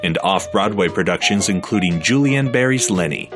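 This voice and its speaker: A man giving measured, scripted documentary narration. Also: low-pitched, animated. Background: music underneath.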